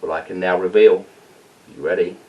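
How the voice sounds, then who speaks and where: A man's voice: deep voice, steady tone, moderate pace. A man speaking as a teacher, telling a story and chatting encouragingly to children. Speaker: teacher reading a story to pupils. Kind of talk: storytelling and informal address to children. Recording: dull sound, distant from mic.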